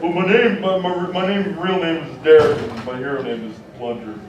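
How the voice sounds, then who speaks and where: A man voices a sullen, snarky boy with a fairly high voice for a male and a sneering delivery. It is recorded off-mic in a very echoey room. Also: steady tone, moderate pace, natural voice. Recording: very echoey hall, distant mic, faint noise.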